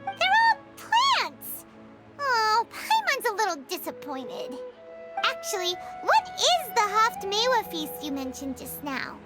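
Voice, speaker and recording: A woman voicing a tiny, excitable female fairy companion in a video game, squeaky, childlike and exclamatory. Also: takes it slowly, loud music behind the voice, heavily acted. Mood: excited. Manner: speaks of self in third person, exclamatory. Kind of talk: video game character acting.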